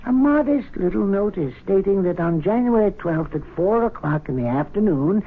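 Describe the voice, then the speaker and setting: A spiteful, gleeful aging manservant in an old radio play. His fairly high voice swoops from scornful muttering to crowing exclamation, over a tinny, noisy broadcast. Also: expressive, moderate pace. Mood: gleefully sly. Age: older. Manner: grumbling, scornful, swinging between muttering and exclaiming. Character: spiteful, gleeful aging male club servant. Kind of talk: radio drama acting.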